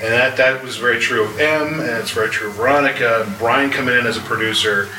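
A man speaking casually on a live outdoor panel as a series creator, often halting and repeating words. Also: medium pitch, music in the background. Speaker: audio drama writer and series creator. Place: outdoor patio at a convention. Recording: echoey, distant, noisy outdoor recording.

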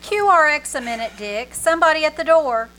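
A woman's voice: high-pitched, animated, a little fast, bright, forceful tone. A woman playing a chirpy, friendly ham radio operator in an old-time radio comedy. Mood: brisk.

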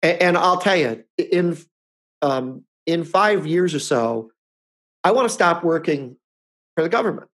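Rambling man in his fifties, higher voice. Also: expressive, measured pace, a bit bright. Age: 50s. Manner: rambling, restarts often, chuckles. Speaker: podcast co-host. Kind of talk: casual chat.

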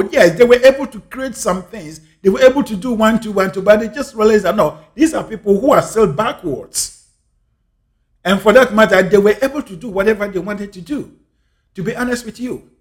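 Earnest high-voiced Ghanaian man. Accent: Ghanaian English. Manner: earnest, repeats key phrases for emphasis. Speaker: speaker on life and scripture. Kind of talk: reflective monologue.

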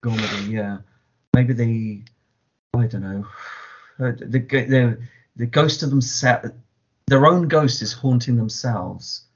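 A man's voice: medium pitch, slow pace, pauses often, slightly rough. A man co-hosting a podcast in casual chat, joking and chuckling along. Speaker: podcast co-host. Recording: dull lo-fi podcast audio.

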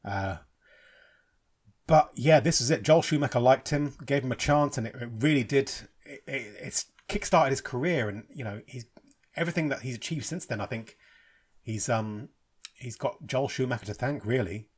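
Husky man, English-born, rambling casual film review, frequent ums and restarts. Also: mid-range voice, moderate pace. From England. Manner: rambling, many fillers and restarts. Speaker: film podcast host.

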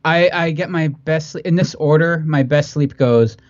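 A man's voice: on the high side, normal speed, dry recording. Nasal man, dry muffled sound. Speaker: podcast co-host. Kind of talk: casual banter.

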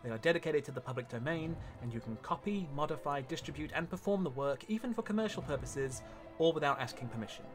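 A man's voice: fairly high pitch, lively intonation, fast talker. A man reading a scripted outro announcement as the credits announcer. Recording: music playing behind the voice.

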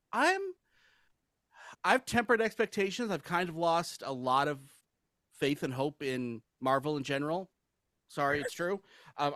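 A man hosting a casual podcast chat about movies, opinionated and quick to laugh. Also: a little high, a bit bright, no room sound, clean studio sound. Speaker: podcast host.

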